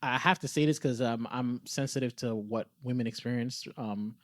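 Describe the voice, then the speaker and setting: A man's voice: medium pitch, normal speed, no room sound, studio quality. A man co-hosting a podcast, talking in a reflective, conversational way, earnestly explaining and thinking aloud. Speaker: podcast co-host.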